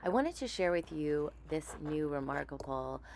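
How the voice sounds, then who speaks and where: A woman vlogger with a raspy voice, chatting casually and thinking aloud while she demonstrates a gadget. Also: low voice, expressive, moderate pace, a little mellow, slight background noise. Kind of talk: casual product demo.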